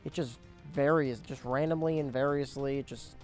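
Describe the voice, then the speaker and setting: A young man casually commentating his gameplay, explaining objectives as he goes, his voice cracking and squeaking now and then. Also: fairly even intonation, normal speed, a little mellow, faint music behind. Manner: chatty, explains objectives as he plays. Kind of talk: casual let's play commentary.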